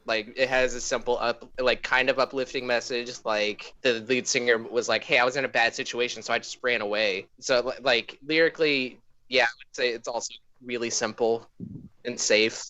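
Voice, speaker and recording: A man's voice: mid-range voice, fairly even intonation, normal speed, sharp tone. A man hosting a podcast, casually discussing and critiquing with his co-hosts in a lo-fi recording. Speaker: podcast host and music critic.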